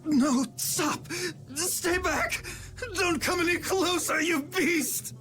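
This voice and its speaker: A man's voice: very high-pitched, takes it slowly, sharp tone, faint music behind, heavily acted. A man playing a vain, cowardly noble knight captain in a video game, with a haughty, theatrical, pleading delivery. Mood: terrified begging. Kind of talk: dramatic video game voice acting.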